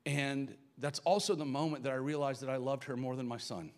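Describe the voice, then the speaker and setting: A man with a Southern American accent, a pastor giving a live church sermon, earnest and building to loud, passionate emphasis. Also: mid-range voice, fairly even intonation, a little fast. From Southern United States.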